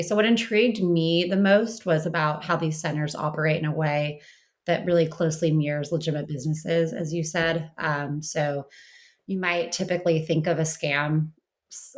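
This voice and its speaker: Deep-voiced woman doing an expert interview, explains stuff casually, lots of you knows. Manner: explanatory, frequent "you know" and "kind of". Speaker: interviewed researcher and writer.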